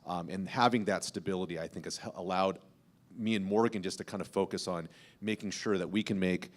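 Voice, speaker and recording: A man's voice: deep voice, fairly even intonation, brisk. A man speaking as a podcast host on a panel, explaining things in an informal, emphatic way. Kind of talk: panel discussion talk, explanatory.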